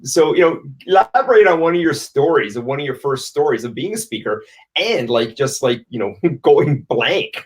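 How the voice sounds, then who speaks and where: A man hosting a casual live-stream interview, agreeing often and asking open questions. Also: mid-range voice. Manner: affirming, frequent agreeing interjections. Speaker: interview host.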